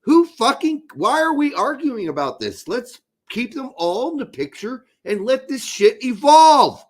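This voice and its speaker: A man with a hoarse voice hosting a casual live soccer talk show, emphatic and swearing when fired up. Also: very high voice, sing-song, a little slow. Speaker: podcast host.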